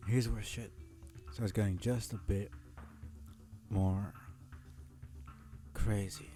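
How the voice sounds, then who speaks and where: A man's voice: lowish voice, fairly even intonation, takes it slowly, mellow, soft background music. A man chatting casually as a livestream host, in a laid-back, muttering, profane way on a close mic.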